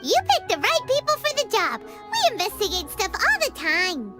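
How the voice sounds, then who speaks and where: A woman voicing a tiny, excitable girl companion in a video game, who talks about herself in the third person. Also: very high-pitched, moderate pace, over loud music, exaggerated voice. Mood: chirpy.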